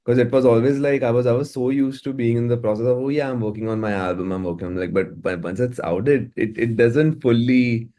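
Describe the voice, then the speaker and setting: A man talking casually as an interview guest, halting and repeating words as he thinks aloud. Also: mid-range voice, dark tone. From India. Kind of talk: casual, reflective interview talk.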